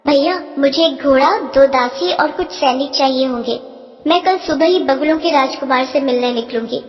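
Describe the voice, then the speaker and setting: Woman as a high-voiced, eager young princess. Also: moderate pace, highs cut off, natural voice. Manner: eager, insistent. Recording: long reverb, noisy track.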